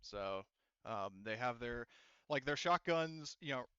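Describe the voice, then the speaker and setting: Smooth-voiced man as game master, slightly dull audio. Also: mid-range voice, expressive, pushed and bright, no room sound. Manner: halting, conversational explaining with quoted character lines. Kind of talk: narrating a tabletop roleplaying game, casual.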